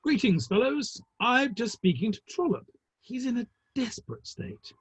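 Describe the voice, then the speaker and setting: A man playing a breezy, flippant Victorian literary gentleman with an arch, old-fashioned turn of phrase, in a play reading. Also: extremely high pitch, animated, moderate pace, breathy edge, dry recording, exaggerated voice. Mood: wry greeting.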